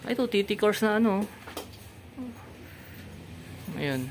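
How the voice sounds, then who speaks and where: Casual shop-tour host, mid pitch, talkative and echoing; noisy store. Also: lively intonation. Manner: chatty, repeats and echoes answers, quick laughs. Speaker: vlogger touring a gear shop. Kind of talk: casual on-camera chat. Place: motorcycle gear shop, indoors.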